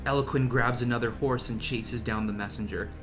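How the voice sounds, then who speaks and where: A man's voice: mid-range voice, steady tone, a little fast, crowd noise. A man reading stage directions aloud in a casual, jokey, theatrical table read. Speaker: podcast co-host reading a script's narration.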